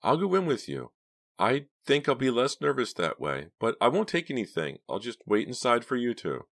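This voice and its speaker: Man putting on a frightened young woman, pitched up. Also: huge pitch swings, moderate pace, a bit bright, no room sound, studio quality. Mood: hesitant. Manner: shaky, anxious. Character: frightened, reluctant young woman getaway driver.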